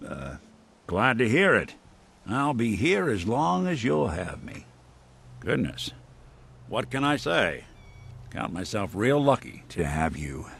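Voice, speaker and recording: An American actor playing a weary old android detective in a video game, with wry, halting, noir-style delivery. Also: male voice, on the low side, sing-song, vocal fry, a bit bright, a little hiss or hum, heavily acted. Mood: warm, wry.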